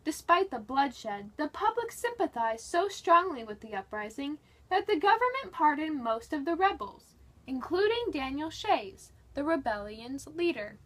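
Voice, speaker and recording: A woman's voice: high voice, very animated, a little slow, fairly bright tone. A woman reading a history book aloud in a dramatic, scripted narration style.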